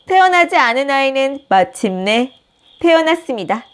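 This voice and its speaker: A woman reads a children's picture book aloud with gentle, expressive storytelling. Her voice sits somewhat high and moves in a lively way through each sentence. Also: moderate pace. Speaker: storybook reader, channel host.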